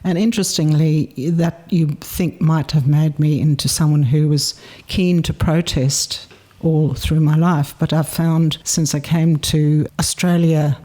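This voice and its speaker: A woman in her seventies from South Africa, reminiscing in an interview, telling her story in a reflective, measured way with pauses. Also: deep voice, steady tone, warm tone. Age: 70s.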